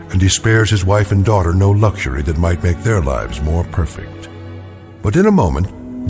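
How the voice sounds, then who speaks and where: A male narrator delivering polished, measured, ominous scripted narration for a radio drama of uncanny tales. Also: on the low side.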